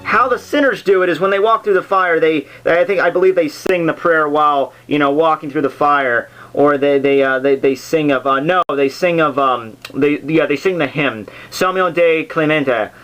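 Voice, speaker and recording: Male explainer host, slightly high voice, off-the-cuff and rambling with jokey asides, music underneath. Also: moderate pace, hardly any pauses, a bit bright, poor audio. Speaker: host of a literature summary series. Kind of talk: casual unscripted explainer. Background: background soundtrack music.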